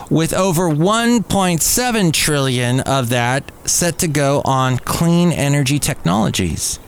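A man's voice: mid-range voice, a little hiss or hum. A man hosting a podcast, reading news items conversationally with casual, wry asides. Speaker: podcast host.

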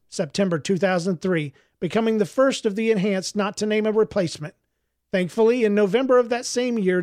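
High-pitched man narrating fiction. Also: darkish tone, no echo, studio quality. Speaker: audiobook narrator.

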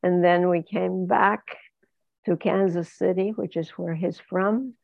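A woman's voice: fairly low pitch, fairly even intonation, a little slow, vocal fry, no room sound, narrow, tinny audio. An older woman from Izmir, telling her life story unhurriedly as an interview guest and laughing often. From Izmir, Turkey. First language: Ladino. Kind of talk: remote interview, personal story.

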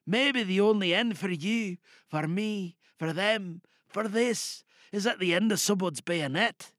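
A man playing a weary, bitter soldier in a trench, confiding in a hushed voice in an audio drama monologue. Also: high-pitched, unhurried, dry recording, clean studio sound. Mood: despairing.

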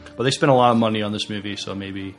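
A man's voice: on the low side, racing, soft background music. A man co-hosting a podcast in casual chat, hesitant and repeating words as he forms his opinions. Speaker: podcast co-host.

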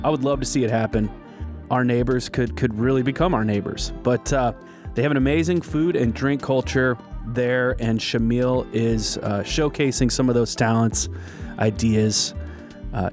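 Man, podcast host, upbeat, chatty, mid-pitched, faint music behind. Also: a little mellow. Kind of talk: casual podcast intro and interview.

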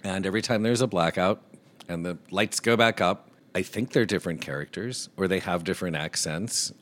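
A soft-voiced man co-hosting a talk show, telling an animated anecdote and mimicking the people in it. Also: low-pitched, a little fast. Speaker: podcast co-host. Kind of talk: casual storytelling chat.

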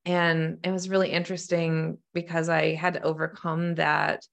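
Low-voiced woman telling animated stories. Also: normal speed, gravelly. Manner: animated storytelling, acting out quoted dialogue. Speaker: spiritual medium. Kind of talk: casual podcast conversation.

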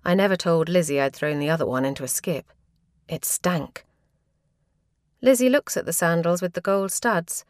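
A woman reading a first-person short story in expressive, scripted narration. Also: fairly low pitch, normal speed, no room sound, studio quality.

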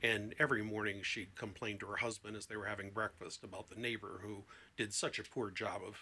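A man's voice: fairly low pitch, flat delivery, brisk, sharp tone, husky. A man talking as a therapist guest in a conversation, explaining things emphatically with illustrative examples.

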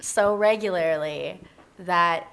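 A woman's voice: lowish voice, takes it slowly, creaky, crowd noise. Earnest, informal woman being interviewed. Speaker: podcast guest. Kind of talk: casual conversational interview.

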